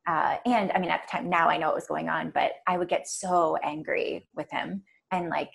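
Soft-spoken woman with gravelly fry. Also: low voice, steady tone, normal speed. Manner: soft-spoken, rambling, many fillers. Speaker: podcast guest. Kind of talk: casual chat.

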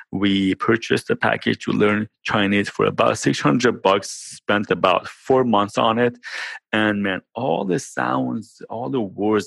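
A man's voice: lowish voice, creaky, a bit bright, husky, no echo. A man speaking English with a non-native accent, a startup founder explaining his technology in conversational interview answers, often saying "basically" and "right".

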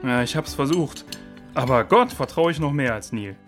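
Male actor as responsible, trusting crew member, children's radio play, middle pitch, decisive, soft music underneath. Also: animated, normal speed, lightly acted. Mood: reflective. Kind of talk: children's audio drama acting.

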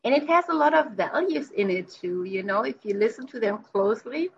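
A woman's voice: fairly high pitch, animated, brisk, vocal fry, dull audio, a little hiss or hum. A woman whose first language is German, hosting a conversational interview, enthusiastic and effusive with many "you know"s. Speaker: podcast host.

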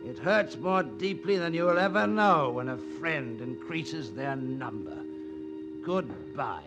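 An actor playing a blunt, sardonic, idealistic surgeon in the manner of a 1940s radio drama. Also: male voice, a little high, lively intonation, slow, over loud music. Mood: bitter, reproachful. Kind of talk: 1940s radio play acting.

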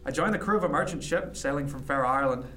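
An actor playing a reflective, modest sea captain of the early 1700s in a radio drama, measured and halting. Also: male voice, a little high, sharp tone, a little hiss or hum, poor audio. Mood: somber, reminiscing. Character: reflective, modest sea captain in 1717, former cabin boy. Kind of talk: period radio drama acting, storytelling.

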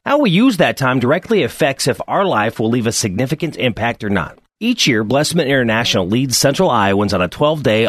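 Lively male radio announcer. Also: mid-range voice, dry recording, studio quality. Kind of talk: scripted sponsor read.

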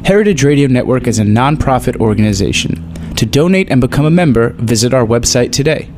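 A man's voice: mid-range voice, lively intonation, fast talker. A man reading a scripted closing announcement for a radio network. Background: plucked guitar music.

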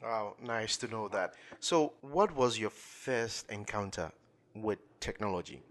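A man's voice: lowish voice, measured pace. A man hosting a podcast, welcoming his guest in a formal, courteous way with frequent fillers and restarts. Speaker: podcast host. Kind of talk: podcast interview.

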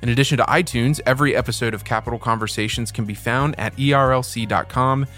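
A man's voice: mid-range voice, fairly even intonation, quick pace, fairly bright tone, soft background music. A man hosting a podcast, giving introductions and interviewing a guest in a conversational, presenter-like style. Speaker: podcast host.

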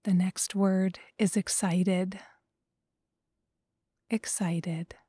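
A woman guiding a breathing meditation, speaking slowly and softly and often in a whisper. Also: medium pitch, little pitch movement, slightly rough, no echo. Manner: slow, soft, often whispered. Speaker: meditation guide.

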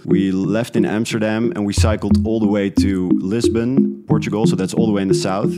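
A man from the Netherlands who grew up speaking talks in a fairly low voice. He is a guest in a relaxed interview, thinking aloud with some hesitation as he explains himself. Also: moderate pace, over loud music. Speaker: interview guest, independent podcast creator. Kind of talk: casual interview conversation.

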